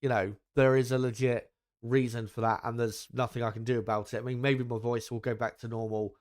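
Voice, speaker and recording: A young man hosts a live watchalong, chatting loosely and peppering his talk with ums and little asides to the audience. His voice sits at an everyday pitch but carries a slightly deeper tone than it once did. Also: little pitch movement, brisk. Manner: rambling, frequent ums and asides to viewers. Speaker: livestream host. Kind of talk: casual live commentary chat.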